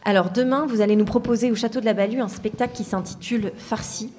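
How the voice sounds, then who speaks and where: A woman's voice: fairly high pitch, quick pace, darkish tone. A woman hosting an interview, introducing her guest and asking questions in a hesitant way with many fillers. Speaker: interviewer, host. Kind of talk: radio interview.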